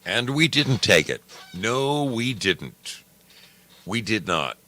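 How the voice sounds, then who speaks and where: A man's voice: on the low side, very animated, takes it slowly, sharp tone, a little hiss or hum. A man hosting a radio show, chatting casually with listeners in a folksy, theatrical manner. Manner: folksy, theatrical, addresses listeners as friends and dear brothers. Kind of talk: casual live chat with listeners.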